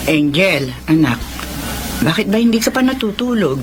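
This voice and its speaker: A woman's voice: medium pitch, big pitch swings, moderate pace, loud music behind the voice, close to an everyday voice. An actress playing a scolding, protective older mother and grandmother in a radio drama, speaking in an emphatic, nagging way. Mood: exasperated, pleading.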